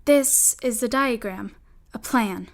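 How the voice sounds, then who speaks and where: A high, girlish voice as a bossy big sister in a period audio drama. She coaxes and commands with theatrical flair, always scheming. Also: slow pace. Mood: bossy, conspiratorial. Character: bossy, scheming older sister, a girl in an old-fashioned household.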